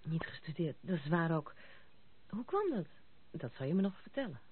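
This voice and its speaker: A woman's voice: low voice, sing-song, normal speed, slightly rough, exaggerated voice. An actress playing a frank, disillusioned young woman with a wry, direct manner in a radio drama. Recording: tinny, band-limited old radio recording.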